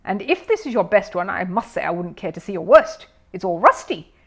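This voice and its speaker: A woman reading a storybook as a firm, courteous male golf judge with measured authority. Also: mid-range voice, very animated, fairly bright tone. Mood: stern.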